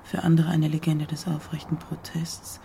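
An actress playing an inquisitive, thoughtful woman writer in a radio drama, coaxing and probing, with a creaky voice. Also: deep voice, flat delivery, moderate pace, background noise. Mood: musing, composing. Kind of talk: naturalistic radio drama acting.

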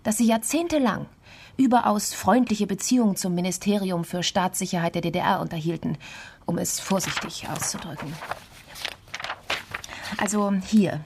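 A woman's voice: medium pitch, moderate pace, darkish tone, a little hiss or hum, close to an everyday voice. Actress, female investigator, crime radio play, mid-range voice, composed, factual, clipped delivery. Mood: matter-of-fact. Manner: matter-of-fact, clipped case reporting. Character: composed, determined female investigator laying out a case.

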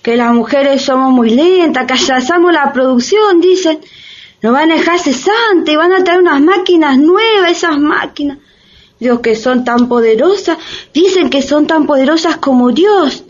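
An actress playing a naive, plain-spoken working-class housewife in radio play dialogue. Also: female voice, high-pitched, animated, normal speed. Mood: distressed. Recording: dull lo-fi radio drama.

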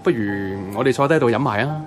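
A man's voice: medium pitch, fast talker, soft background music, close to an everyday voice. A man playing a friendly, sociable office crush in a Hong Kong Cantonese radio drama, chatting casually. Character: friendly male office crush inviting a colleague out.

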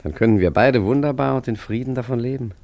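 An actor playing a wry, philosophical street painter and art forger in a German radio play, talking in a chatty, mock-grand, ironic way. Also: male voice, mid-range voice, fast talker, vocal fry, a little mellow, no room sound, lightly acted. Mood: sly, persuasive.

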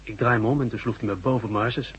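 A man's voice: fairly low pitch, fairly even intonation, fast talker, breathy edge. A man acting an obliging, plain-spoken guard who works under a sheriff in a Dutch radio drama. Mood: shaken, reporting. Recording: dull audio, faint hum behind.

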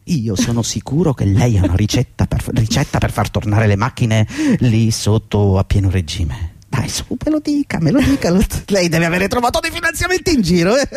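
A man's voice: mid-range voice, sing-song, normal speed, hardly any pauses, darkish tone, slightly rough, no echo. An actor playing an anxious, fawning long-serving office employee in a radio drama, pleading hesitantly and deferentially as he rambles. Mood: pleading, nervous cheer. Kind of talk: theatrical radio drama dialogue.

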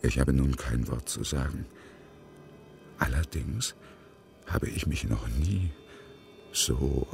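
Radio drama monologue: dark, brooding male courier, slow and hushed, rock-bottom pitch, faint music underneath. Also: lively intonation, creaky, heavily acted. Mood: darkly fascinated. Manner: hushed, deliberate, halting. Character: brooding male delivery courier, darkly fascinated by danger. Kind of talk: dramatic first-person monologue.

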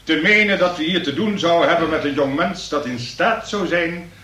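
An actor in a radio play as a pompous examining magistrate, formal, ponderous and wordy. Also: male voice, a little high, moderate pace, bright, forceful tone. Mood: stern. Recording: roomy, distant radio play recording.

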